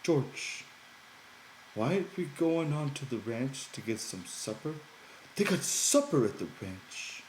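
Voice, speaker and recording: A man's voice: medium pitch, big pitch swings, measured pace, darkish tone, voice pushed up. A man voicing a childlike, simple big man, eager to please, in a naive rustic dialect for an audiobook. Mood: questioning. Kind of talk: character voice in audiobook reading. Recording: roomy echo, background noise.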